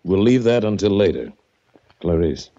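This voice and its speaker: An actor playing a brusque, scheming attorney in a 1940s radio drama. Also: male voice, sits low, expressive, moderate pace, warm tone. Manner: brusque, impatient. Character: scheming, curt male attorney. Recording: dull old radio recording.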